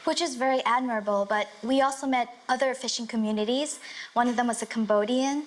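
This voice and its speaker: A woman co-hosting a TV magazine show, presenting smoothly. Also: a little high, brisk, low-quality recording. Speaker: TV program co-host.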